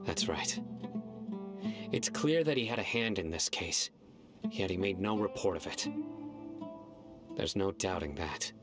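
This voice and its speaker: A man voicing a stern, solemn young judge in a game, speaking in a grave, measured, terse way. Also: deep voice, expressive. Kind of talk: game voice acting. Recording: game audio with loud background music.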